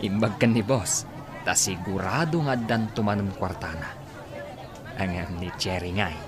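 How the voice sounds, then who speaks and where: A man's voice: deep voice, a little slow, a crowd in the background, noisy background. A man with an Ilocano accent acting a scheming underling in a radio comedy drama. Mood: sly. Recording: loud music bed behind dialogue.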